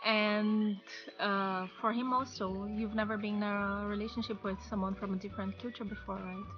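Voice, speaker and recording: A woman's voice: mid-range voice, steady tone, moderate pace, lo-fi sound, music in the background. A young woman from Brazil with a Brazilian Portuguese accent, chatting casually to camera in English with many fillers, outdoors on a patio. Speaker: vlogger. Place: outdoor patio by a wooden fence.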